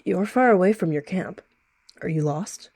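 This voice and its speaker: A woman's voice: fairly low pitch, lively intonation, moderate pace, darkish tone, no room sound, clean studio sound. A woman voicing a scheming evil rogue cat with a casual, falsely friendly and detached way of talking.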